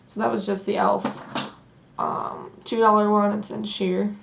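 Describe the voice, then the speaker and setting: A young woman with a Southern accent, hoarse from allergies, chatting casually through a makeup tutorial. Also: medium pitch, unhurried, low-quality recording. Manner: chatty and relaxed, says "like" often. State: hoarse from allergies, losing her voice. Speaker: beauty vlogger. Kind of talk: casual get-ready-with-me tutorial.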